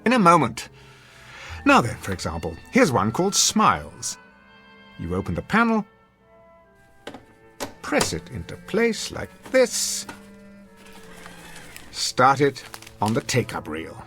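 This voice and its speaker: A man's voice: mid-range voice, huge pitch swings, slow pace, long pauses, pushed and bright, soft background music, heavily acted. A man playing a domineering, sarcastic wealthy husband in a radio drama, speaking with haughty, formal diction. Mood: smug, mocking. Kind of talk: classic radio drama acting.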